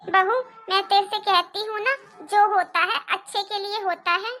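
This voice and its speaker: A woman's voice: extremely high pitch, steady tone, unhurried, sharp tone, heavily acted. A woman voicing a pleading, scheming older mother-in-law in a cartoon family drama. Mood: persuasive. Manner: pleading, lamenting, persuasive.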